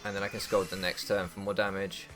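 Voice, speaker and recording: A man's voice: deep voice, flat delivery, fast, music in the background. A young man doing casual strategy game commentary, thinking aloud and deliberating as he goes, with hesitations. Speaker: gaming YouTuber.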